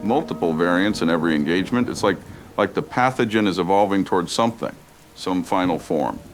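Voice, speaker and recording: An actor playing a grave, defeated male health official, measured and grim in a radio drama interview. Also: deep voice, faint music behind, close to an everyday voice. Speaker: official spokesman. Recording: faint background noise.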